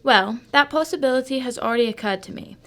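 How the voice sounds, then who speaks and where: A woman's voice: sits high, fast, a bit bright. A woman acting a shrewd, unflappable female detective in a radio drama, formal, composed and condescending. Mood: brisk, probing.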